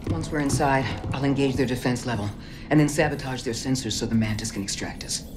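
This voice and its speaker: An actress playing a stern, resolute middle-aged mentor in a cinematic game, speaking in a grave, commanding and measured way. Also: female voice, very deep voice, background noise.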